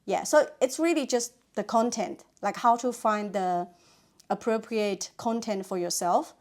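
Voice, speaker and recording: A woman's voice: medium pitch, measured pace, darkish tone. Woman musing in conversation. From China. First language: Chinese. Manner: thoughtful, many "you know" and "uh" fillers. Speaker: guest, language content creator. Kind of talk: casual interview chat.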